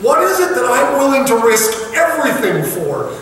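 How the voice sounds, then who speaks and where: A man's voice: extremely high pitch, big pitch swings, normal speed, a bit bright, slight background noise. A male pastor preaching a sermon, earnest and pressing the congregation with repeated questions. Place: large church sanctuary. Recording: echoey hall, mic far off.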